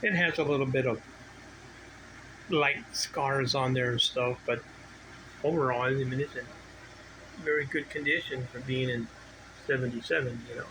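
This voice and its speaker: A middle-aged or older man giving a casual, unscripted video walkthrough as a hobby collector, rambling and halting as he restarts his sentences. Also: medium pitch. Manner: rambling, halting, self-interrupting. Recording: clear steady background noise, ambient hum.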